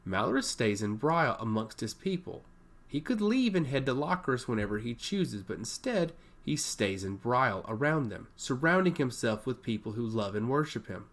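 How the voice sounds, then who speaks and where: Storybook princess, read by a man: earnest, argumentative, pleading, with animated intonation. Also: medium pitch, moderate pace. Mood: defiant. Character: adult princess, earnest, insistent, hopeful.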